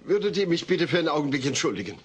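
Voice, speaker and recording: An earnest, exasperated middle-aged dad with a lively, shifting intonation. He talks formally and a little hesitantly, in a sitcom audio play with faint tape noise. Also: fairly high pitch, rapid-fire. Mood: awkward, earnest. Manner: hesitant, formal, long-suffering. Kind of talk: German-dubbed sitcom audio play acting. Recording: noisy old cassette audio play.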